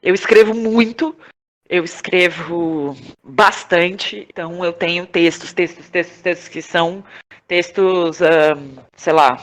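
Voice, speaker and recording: A woman's voice: lowish voice, slow, low-quality recording. A Brazilian woman speaking as a guest in a reflective conversational interview, feeling for her words and repeating them for emphasis. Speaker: interview guest, playwright and director.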